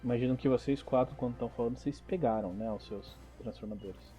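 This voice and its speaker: A man's voice: medium pitch, fast talker, dark tone, highs cut off, faint music behind. A man with a Brazilian accent, casually narrating and hosting a tabletop role-playing podcast as game master.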